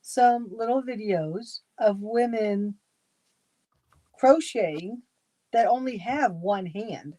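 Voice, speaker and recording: A woman chatting on a crafting livestream, easygoing, telling little stories that trail off softly. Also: medium pitch, slow, no echo. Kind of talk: casual chat.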